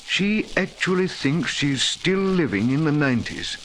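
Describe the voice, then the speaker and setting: Slow, courtly, hesitant gentleman. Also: on the high side, expressive. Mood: hesitant, pleading. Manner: hesitant, formal, apologetic. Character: courtly, devoted brother, anxious old-fashioned gentleman host. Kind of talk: 1940s radio acting. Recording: faintly noisy old 1940s radio recording.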